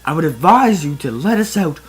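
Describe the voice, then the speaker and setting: An amateur reader plays a disapproving Jedi man held captive in a comic fan fiction. He protests in formal, aggrieved phrases, with the pitch moving about freely. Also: very animated, a little fast, breathy edge. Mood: exasperated.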